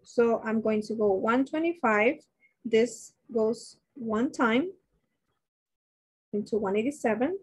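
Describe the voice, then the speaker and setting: Woman instructor, slightly high voice, talks to class over webcam, restarts a lot. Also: takes it slowly, long pauses, breathy edge. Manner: thinks aloud with many restarts, asks class questions. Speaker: nursing instructor. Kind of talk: live online math lecture. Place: indoors, webcam beside shared slides.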